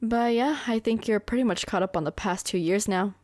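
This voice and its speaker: A woman acts out a brilliant young female killer from an anime roleplay, speaking to a lover. She is self-satisfied and boastful, coaxing with manipulative sweetness. Her voice sits at a medium pitch in a dry, intimate recording. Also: a little fast, darkish tone, no room sound, close to an everyday voice. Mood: casually confiding. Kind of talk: anime ASMR girlfriend roleplay.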